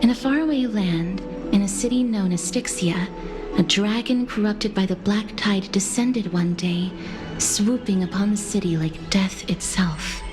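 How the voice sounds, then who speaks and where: An actress playing a polite, bookish young woman in a video game, speaking softly and formally. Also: mid-range voice, a little slow, lightly acted. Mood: gentle, earnest. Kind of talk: scripted video game dialogue. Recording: music behind the voice. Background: game music.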